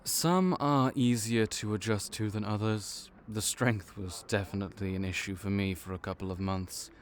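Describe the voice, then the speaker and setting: A man's voice: fairly low pitch, moderate pace, slight background noise, natural voice. A man voicing a male vampire intake officer in an audio roleplay, matter-of-fact and wry as he walks through the paperwork.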